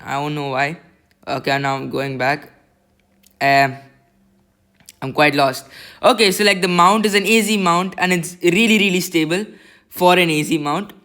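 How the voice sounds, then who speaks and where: A man with a squeaky voice giving a rambling, self-conscious voice-over review of a telescope, recorded on a laptop mic. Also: a bit bright, clean tone. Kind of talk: casual voice-over product review.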